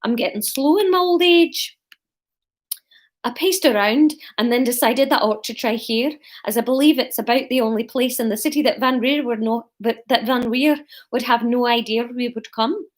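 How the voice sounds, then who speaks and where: A woman's voice: fairly high pitch, normal speed, lightly acted. Woman doing a cheery old Scottish conspirator. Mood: resigned. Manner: cheerful, explanatory. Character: cheery old conspirator, Scottish accent, bruised but unbothered. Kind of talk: live story reading.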